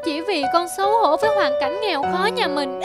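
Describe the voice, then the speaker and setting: Casting: woman as a plaintive cartoon schoolboy, high voice, anxious and ashamed, loud music underneath. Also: expressive, brisk. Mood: distraught. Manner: hesitant, plaintive. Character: anxious, ashamed schoolboy son, sulky and tearful. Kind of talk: cartoon dubbing.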